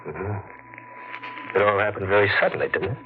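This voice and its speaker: A suspicious heir played by a man presses hard with questions in a deep voice. The sound is thin and narrow, with noticeable hiss, like an old radio broadcast. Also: steady tone, normal speed, lightly acted. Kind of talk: 1940s radio acting.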